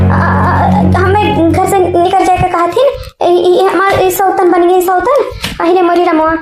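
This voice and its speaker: Self-sacrificing cartoon housewife , woman actor, plaintive high voice, eastern Uttar Pradesh accent, repetitive halting delivery, echoey. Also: creaky, darkish tone. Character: wronged, self-sacrificing elder wife, mother of three daughters. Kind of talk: village family comedy cartoon dub. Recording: echoey room sound.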